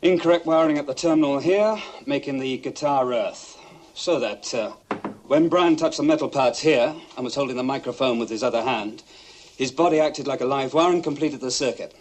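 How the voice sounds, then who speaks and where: A man plays a plain-spoken expert who calmly explains how something went wrong. His voice is middle-pitched, with faint hiss behind it. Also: normal speed, lightly acted. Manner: matter-of-fact, explanatory. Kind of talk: 1960s television drama acting.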